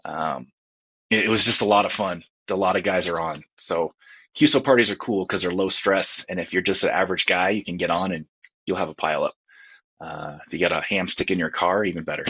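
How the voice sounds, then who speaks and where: Very deep, husky man, dull audio. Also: steady tone, brisk, pushed and bright, dry recording. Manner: laid-back, colloquial. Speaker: panelist on a ham radio discussion show. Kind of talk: casual chat.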